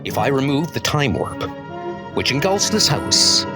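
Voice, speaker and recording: A man's voice: medium pitch, sing-song, measured pace, over loud music. A man voicing a heroic, grave thunder god with lofty, formal, declamatory speech in a superhero comic dub. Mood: grave, sorrowful.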